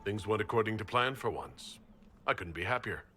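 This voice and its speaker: Courteous heroic leader guy for a game, low but crisp and bright, faint music. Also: animated, normal speed. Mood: warm, relieved. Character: resolute, courteous heroic male inquisitor. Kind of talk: video game cutscene acting.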